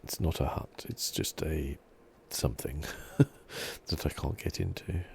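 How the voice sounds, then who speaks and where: A man giving relaxed, soft-spoken, low-key ASMR commentary as he plays a video game, close to the mic. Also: measured pace, vocal fry, a little hiss or hum. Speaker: gaming ASMR host.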